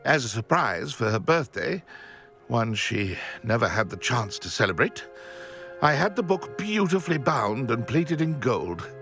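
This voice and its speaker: Florid, mournful widower, swooping intonation. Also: male voice, mid-range voice, sing-song, measured pace, a bit bright, faint music behind. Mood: mournful, shaken. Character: shaken, mournful widower, refined and fearful gentleman. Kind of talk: video game voice acting, scripted dialogue.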